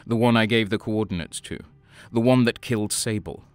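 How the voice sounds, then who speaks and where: A man's voice: on the low side, fairly even intonation, brisk, no echo, close to an everyday voice. An actor playing a haunted, desperate renegade guardsman in an audio drama, dramatic and bitter, narrating his own story. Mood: horrified, urgent. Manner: dramatic, stammering under stress. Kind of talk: audio drama acting with first-person narration. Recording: music behind the voice.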